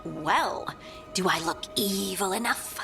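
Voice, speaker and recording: A woman's voice: mid-range voice, takes it slowly, vocal fry, music in the background. A woman playing an earnest, slightly anxious companion in a fantasy video game, sincere and hesitant.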